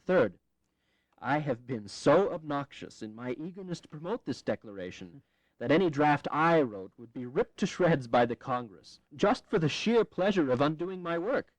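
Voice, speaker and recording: A man's voice: fairly high pitch, animated, normal speed, no echo, close to an everyday voice, rough recording. An actor playing a blunt, fiery and eloquent congressman from Massachusetts in a historical audio drama, earnest and wry, with formal, emphatic phrasing. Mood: earnest, persuasive.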